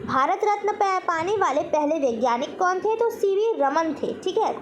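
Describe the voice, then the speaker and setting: A woman's voice: very high-pitched, expressive, normal speed, a little hiss or hum. A woman teacher giving a general knowledge lesson, posing questions and then answering them herself in an instructional way. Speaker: quiz teacher.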